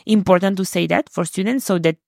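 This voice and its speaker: A woman's voice: medium pitch, quick pace, creaky, darkish tone, no room sound. Spanish-accented woman, hesitant and dry-sounding. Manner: hesitant, many fillers, non-native phrasing. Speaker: university representative. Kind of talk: conversational interview answers.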